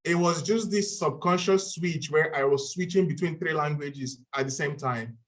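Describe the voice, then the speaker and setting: A man in his twenties from Nigeria speaks with a Nigerian accent, and his voice sits a little high for a man. He talks in a steady, organized way and moves between points with clear signpost words. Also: moderate pace. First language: Igbo. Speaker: panel speaker. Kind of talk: prepared talk at a club panel.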